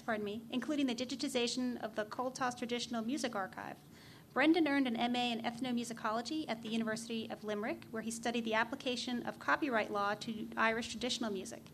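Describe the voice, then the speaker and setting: A woman's voice: on the high side, fairly bright tone, slight background noise. A woman chairing a conference session, formally reading out a speaker's introduction. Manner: formal, reads a prepared bio.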